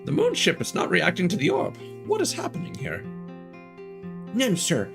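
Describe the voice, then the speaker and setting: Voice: mid-range voice, huge pitch swings, moderate pace, gravelly, soft background music. A streamer voicing a fretful game villager who guards a temple, speaking dramatically and with worry. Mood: worried. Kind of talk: reading game dialogue aloud.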